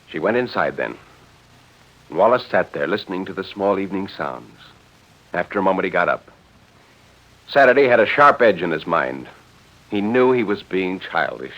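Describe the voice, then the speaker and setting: Vintage radio narrator: man, lowish pitch, very varied intonation, dramatic literary storytelling, muffled sound. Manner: literary, expressive. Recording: dull vintage 1940s radio recording.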